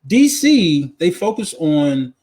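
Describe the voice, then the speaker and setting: A man with a somewhat high voice talks as a podcast co-host. He is casual and slangy, and he doubles back on phrases to drive them home. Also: big pitch swings, takes it slowly, darkish tone. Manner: slangy, repeats phrases for emphasis. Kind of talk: casual movie chat.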